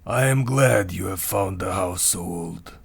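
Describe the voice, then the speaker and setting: An actor playing an ancient vampire count in a radio play, husky and gravelly, with formal, courtly, menacing speech. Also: male voice, sits low, no room sound, heavily acted. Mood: courtly, ominous. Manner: slow, formal, deliberate.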